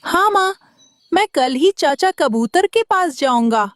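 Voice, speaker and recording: A dutiful little boy bird voiced by a woman for a children's cartoon. The voice is high and childlike, with slow, earnest delivery and a dry, close sound. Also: expressive, fairly bright tone, dry recording. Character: young boy bird, dutiful, worried son.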